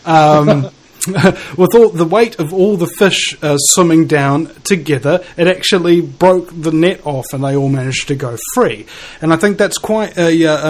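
A man's voice: fairly high pitch, a little mellow. A man with a New Zealand accent hosting a casual conversation, musing aloud and searching for his words.

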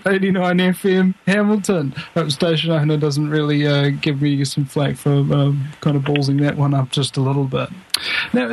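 A man's voice: fairly high pitch, vocal fry, low-quality recording. A man hosting a talk radio show, rambling casually with many fillers. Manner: rambling, filler-heavy, self-deprecating.

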